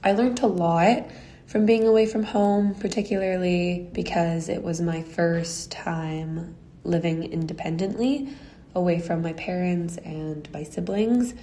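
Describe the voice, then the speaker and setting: A woman in her early twenties from Canada, telling a measured, reflective story about her time studying abroad. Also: lowish voice, fairly even intonation, warm tone, a little hiss or hum. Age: early 20s. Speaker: guest.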